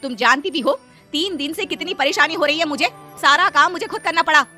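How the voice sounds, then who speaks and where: A woman's voice: high voice, brisk, faint music behind, pitched above the performer's own voice. A woman voicing a wealthy, bossy mistress who scolds her maid in a cartoon. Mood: scolding. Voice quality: bossy, sharp-tongued. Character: wealthy middle-aged mistress, haughty, scolding.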